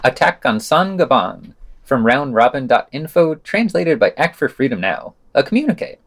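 Man reading news with lively, swooping intonation. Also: mid-range voice, normal speed. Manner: wry, sardonic asides. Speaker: podcast news reader. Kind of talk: scripted news roundup with wry commentary.

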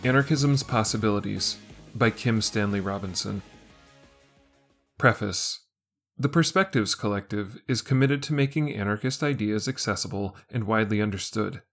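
Low-pitched man reading over guitar music. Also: normal speed. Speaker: reader and podcast segment presenter. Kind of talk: scripted essay reading.

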